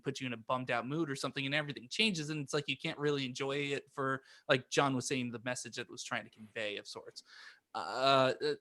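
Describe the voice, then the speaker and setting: A man's voice: medium pitch, bright, forceful tone. A man co-hosting a podcast in casual chat, rambling and often interrupting his own sentences. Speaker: podcast co-host.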